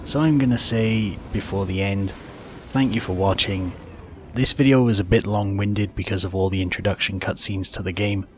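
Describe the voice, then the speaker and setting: A man's voice: lowish voice, steady tone, moderate pace, slight background noise. A man giving recap commentary over a video game as host of the video.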